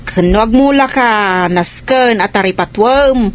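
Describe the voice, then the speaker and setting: A woman's voice: on the high side, a little slow, slight background noise, natural voice. A woman with an Ilocano accent playing a concerned village cousin, pleading and admonishing in a radio comedy drama.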